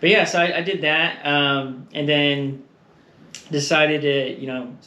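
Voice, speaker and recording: A man's voice: fairly high pitch, fairly even intonation, a little slow, bright, forceful tone. Rambling man in an echoey room. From Texas. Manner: rambling, laughs often, trails off with fillers. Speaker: guest. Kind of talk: casual conversational interview. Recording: echoey room with faint noise.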